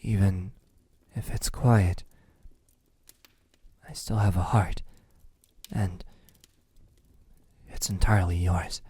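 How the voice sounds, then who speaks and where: A lovesick, ancient male vampire, played by a man, murmurs in a husky, breathy voice. He speaks very slowly and haltingly, slipping into whispers. The tone is dark and velvety, gentle yet desperate and possessive, in an intimate ASMR roleplay. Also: deep voice, steady tone, exaggerated voice. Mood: longing, possessive. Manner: slow, hesitant, halting, tender.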